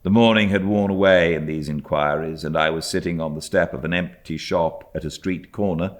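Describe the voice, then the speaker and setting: A British man reading a classic novel as an audiobook narrator, in a measured, expressive storytelling manner. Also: low voice. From Britain. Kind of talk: scripted literary narration.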